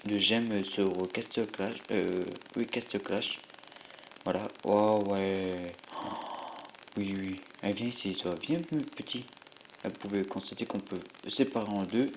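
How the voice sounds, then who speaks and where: A man's voice: lowish voice, steady tone, slow pace, a little hiss or hum, poor audio. Rambling man with a cold. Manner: rambling, hesitant, full of filler sounds. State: has a cold, stuffy; tired from a sleepless night. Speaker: YouTuber playing a mobile game. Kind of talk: gameplay commentary.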